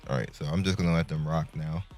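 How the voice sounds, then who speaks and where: A man's voice: rumbling low, steady tone, fast talker, darkish tone. A man giving casual, laid-back, slangy gameplay commentary. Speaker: gaming content creator. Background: faint game music.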